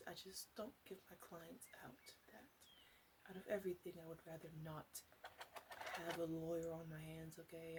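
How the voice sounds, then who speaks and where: A woman playing a sly, flirty witch who runs a potion shop, in a soft-spoken ASMR roleplay with whispers and halting, teasing asides. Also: lowish voice, background noise, natural voice. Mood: defensive.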